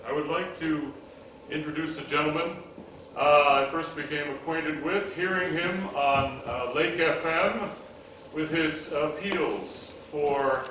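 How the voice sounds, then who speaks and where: Man, event presenter, halting delivery, echoey hall, background noise. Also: a little high, sharp tone. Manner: halting, many fillers. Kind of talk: introducing a speaker. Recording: echoey hall, distant mic, noisy.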